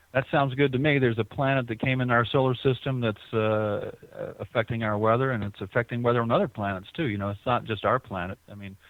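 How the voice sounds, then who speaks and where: A man's voice: mid-range voice, dry recording. A man being interviewed as a guest over the phone, rambling with frequent restarts and repeated words. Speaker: guest artist. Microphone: telephone. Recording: tinny phone line, dry.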